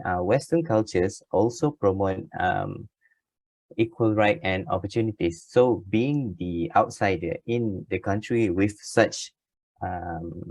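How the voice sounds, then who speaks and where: A man's voice: deep voice, a little mellow, no room sound. A young man with a Malaysian accent, English not his first language, presenting his choice in a group discussion with frequent hesitant fillers. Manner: hesitant, many filler sounds.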